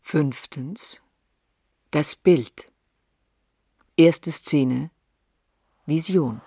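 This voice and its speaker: A woman announcing acts and titles in a radio play in a terse, measured, scripted way. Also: deep voice, warm tone, husky, no room sound, clean studio sound, exaggerated voice.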